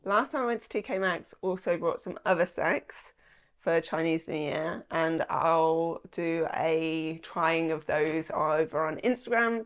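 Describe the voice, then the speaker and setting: A chatty woman in her thirties, talking to camera at home about things she bought. Her voice sits a little low and sounds slightly nasal from a starting cold. She rambles easily, full of "like" and "um". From England. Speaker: lifestyle vlogger. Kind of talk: casual haul chat to camera. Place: small indoor room at home.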